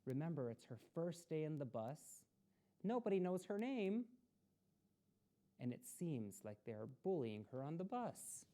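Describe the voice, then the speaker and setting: A woman reading a children's story aloud expressively, in a deliberate manner suited to young listeners. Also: low-pitched, very animated, mellow. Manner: deliberate, with explanatory asides to children. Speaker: storytime reader.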